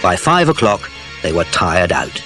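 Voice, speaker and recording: A man's voice: low-pitched, very animated, measured pace, pushed and bright, full character voice. A man narrating a children's adventure audiobook from a script, as its storyteller. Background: music.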